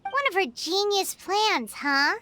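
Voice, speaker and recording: Squeaky, very high childlike fairy. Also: female voice, takes it slowly, no echo, heavily acted. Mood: inquisitive. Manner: animated, inquisitive. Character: small chirpy childlike female fairy companion, curious, eager. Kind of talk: video game character acting. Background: background music.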